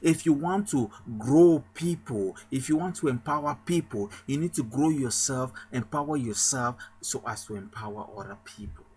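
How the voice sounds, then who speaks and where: A man's voice: mid-range voice, moderate pace, hardly any pauses, darkish tone, breathy edge. A man from Cameroon, a pastor, giving an emphatic motivational sermon with a repetitive rallying cadence.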